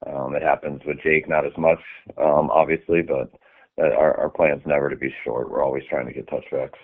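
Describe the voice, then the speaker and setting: Very deep-voiced coach on a phone line. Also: male voice, moderate pace, husky. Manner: relaxed, many "um" and "I mean" fillers. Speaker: head coach. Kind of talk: answering press questions on a conference call.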